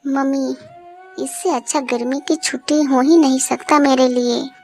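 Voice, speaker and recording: A woman voicing an excited little boy in a cartoon story. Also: high voice, unhurried. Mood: excited, eager. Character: excited little boy, a mango-loving grandson. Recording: music behind the voice.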